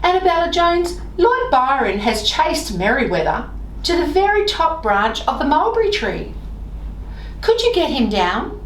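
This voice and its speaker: A woman voicing a storybook neighbour woman who calls out for help in a drawn-out way. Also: big pitch swings. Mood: urgent, pleading. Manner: high, drawn-out calling. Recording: roomy echo, off-mic, faint noise.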